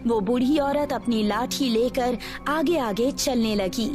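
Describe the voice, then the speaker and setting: A woman's voice: a little high, takes it slowly. A woman narrating a scripted horror story as the storyteller. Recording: music behind the voice.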